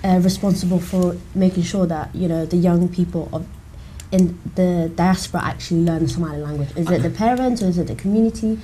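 A woman hosting a studio panel, calmly putting questions to her guests. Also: on the low side, a little fast, slight background noise, poor audio. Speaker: host, moderator. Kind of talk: interview questions. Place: TV studio, indoors.

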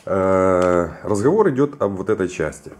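A man's voice: deep voice, expressive, moderate pace, darkish tone, a little hiss or hum. A man casually giving a hands-on tutorial and telling stories, playfully repeating phrases for comic effect. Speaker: printer repair technician giving advice. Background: background music with guitar.

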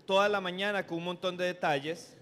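Man in his thirties, high voice, live interpreting, handheld mic, restarts mid-phrase. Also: fairly even intonation, brisk, fairly bright tone. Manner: follows each phrase, with restarts and self-corrections. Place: stage in a hall.

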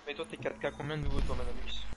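A young man chatting fast in slang while playing an online game on a headset. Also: mid-range voice, steady tone, noisy background. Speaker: streamer playing with teammates. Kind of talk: casual gaming chat in slang.

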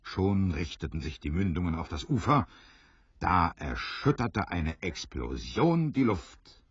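A man narrating an adventure radio play as a grave, expressive storyteller who builds suspense. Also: deep voice, measured pace, slightly rough, no echo, exaggerated voice. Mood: dramatic. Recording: slightly dull, dry studio recording.

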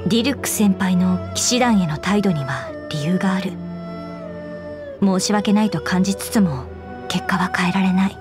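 A woman voicing an earnest, dutiful young knight commander in a Japanese game, speaking in a formal, measured way. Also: fairly low pitch, lightly acted. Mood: solemn, earnest. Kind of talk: Japanese game character voice lines. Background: background music.

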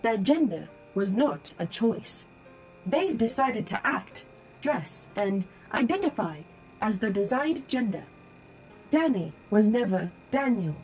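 A woman's voice: mid-range voice, sing-song, slow, darkish tone, poor audio. A woman playing a polite, formal holographic ad-bot in an audio drama, speaking precisely and matter-of-factly as she lectures. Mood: firm, challenging. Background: music.

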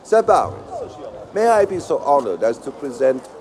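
An actor playing a formal herald in a video game, announcing titles in a ceremonious, declamatory way. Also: male voice, mid-range voice, big pitch swings, normal speed, darkish tone, close to an everyday voice. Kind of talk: dramatic video game dialogue.

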